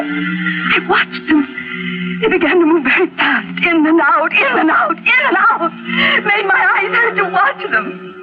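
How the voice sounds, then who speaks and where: Unhinged young-sounding wife, very high, stammering, melodramatic; tinny vintage radio sound. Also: female voice. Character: terrified, unhinged wife tormented by guilt and hallucinations. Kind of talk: 1940s radio mystery acting.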